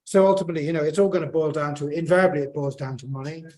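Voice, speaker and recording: Male scientist on a panel, croaky throat, halting delivery, voice on the higher side. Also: steady tone, a little mellow. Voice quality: hesitant, many fillers and restarts. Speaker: panel speaker, plant biotechnologist. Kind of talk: unscripted panel remarks.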